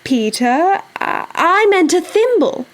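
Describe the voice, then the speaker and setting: A woman's voice: very high-pitched, very animated, slow, exaggerated voice. A woman playing a polite, eager young girl full of wonder in an audio drama. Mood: curious, delighted.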